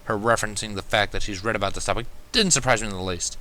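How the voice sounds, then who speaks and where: Bright, forceful low-voiced male story reader. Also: quick pace. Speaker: fan fiction reader. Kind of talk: scripted first-person story narration.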